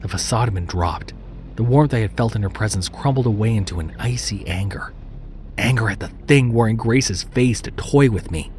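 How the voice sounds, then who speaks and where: A man's voice: fairly low pitch, normal speed, darkish tone, slight background noise. A man reading a scripted horror story as a dramatic narrator. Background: background music.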